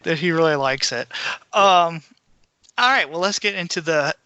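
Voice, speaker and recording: Bright, forceful man chatting as podcast co-host. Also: on the high side, normal speed, smooth, no echo, dull audio. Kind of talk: casual film and book discussion.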